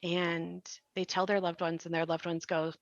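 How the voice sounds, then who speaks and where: A woman's voice: fairly low pitch, flat delivery, moderate pace, no echo, muffled recording. A woman in an earnest explanatory discussion, emphatic and given to rhetorical questions. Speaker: podcast creator and actor discussing the show.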